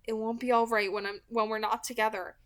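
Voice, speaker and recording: A woman's voice: on the high side, flat delivery, brisk, a bit bright, natural voice. A woman voicing a distraught, stubborn teenage boy in an audiobook, emphatic and pleading. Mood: anxious, upset.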